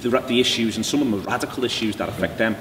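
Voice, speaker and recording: A man's voice: lowish voice, very fast. A man hosting an interview, eager and rambling in his questions with fillers. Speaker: podcast host. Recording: distant mic in an echoey noisy room.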